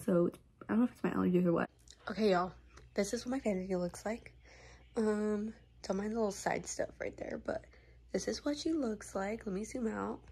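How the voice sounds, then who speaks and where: A woman's voice: mid-range voice, creaky, darkish tone, husky. A teenage girl with a stuffy, runny nose, chatting casually through a vanity tour at home, rambling with lots of fillers. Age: teens. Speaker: makeup vlogger. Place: bedroom at home. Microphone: phone camera.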